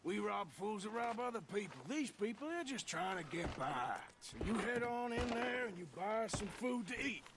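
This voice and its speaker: Gruff, sardonic Wild West outlaw. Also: male voice, high-pitched, animated, normal speed, few pauses, noisy background. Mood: exasperated. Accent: American. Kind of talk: dramatic video game cutscene acting.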